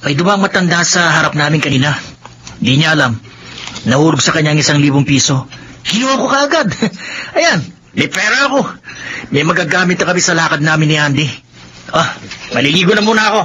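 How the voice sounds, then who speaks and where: An actor playing a callous, cocky young thief and defiant son in a Filipino radio drama, brash and excitable. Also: male voice, a little high, fairly quick, pauses often. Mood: smug. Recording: muffled, noisy radio drama audio.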